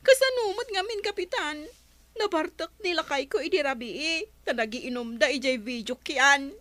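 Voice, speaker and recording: A woman's voice: high voice, lively intonation, normal speed, fairly bright tone, no room sound. A woman playing an exasperated young village woman in a comedy radio drama, complaining to the captain.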